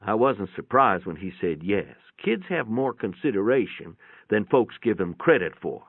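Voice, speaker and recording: A man's voice: lowish voice, big pitch swings, moderate pace, creaky, no room sound, phone-quality sound. A man giving a folksy, plainspoken dramatic reading of a first-person story, with a radio-like sound. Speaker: narrator, reader. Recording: band-limited, radio-like sound.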